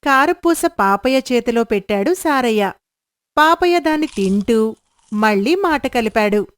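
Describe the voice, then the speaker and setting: A woman's voice: on the high side, animated, unhurried, no echo. A woman narrating a scripted moral story as the storyteller.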